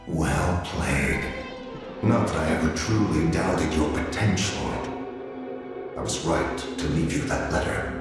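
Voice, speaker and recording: An actor playing a solemn, mysterious plotter in a video game, grave, formal and theatrical in delivery. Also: male voice, a bass voice, slow. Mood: grave, urgent. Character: solemn, mysterious male plotter pleading for a hero's help. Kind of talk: video game cutscene acting. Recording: cavernous reverb under loud music.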